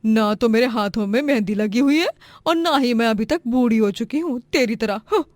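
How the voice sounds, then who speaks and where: A high-voiced, domineering middle-aged mother-in-law as acted by a woman in a cartoon moral tale. She is greedy and bossy, scolding and lamenting with great theatrical drama. The sound is close and dry. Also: expressive, moderate pace, darkish tone, no room sound, natural voice. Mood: haughty, bossy. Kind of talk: animated Hindi moral-story voice acting.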